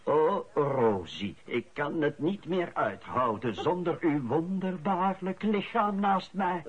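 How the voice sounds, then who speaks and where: An actor playing a scoffing Flemish husband in a radio drama, with a theatrical, drawn-out delivery. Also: male voice, on the high side, animated, slightly rough, heavily acted. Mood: mock swooning. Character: mocking Flemish husband reading a love letter aloud. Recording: dull lo-fi radio play recording.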